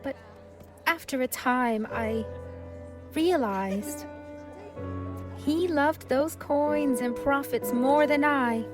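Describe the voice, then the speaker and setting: A woman playing a melodramatic, lovelorn, fretful woman with theatrical pauses in a comic radio play. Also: high-pitched, over music. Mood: lovelorn, dramatic.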